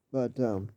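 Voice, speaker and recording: A man giving casual spoken commentary on football, halting with many fillers and restarts, clearing his throat often as he loses his voice. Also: mid-range voice, no echo, narrow, tinny audio. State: losing his voice. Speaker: vlogger talking football.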